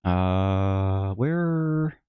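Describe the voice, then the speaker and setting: A man's voice: low-pitched, expressive, drawn-out words, smooth, no echo, muffled recording. A man streaming while he draws his webcomic, who rambles in a casual, self-mocking and jokey way. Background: faint background music.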